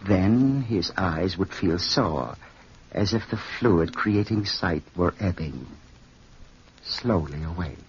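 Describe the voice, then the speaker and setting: An actor playing a suavely morbid, sinister fat man in an old radio horror drama, speaking with deliberate, falsely friendly politeness in a husky voice. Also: low voice, expressive, slight background noise. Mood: morbid relish. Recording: muffled old-time radio recording.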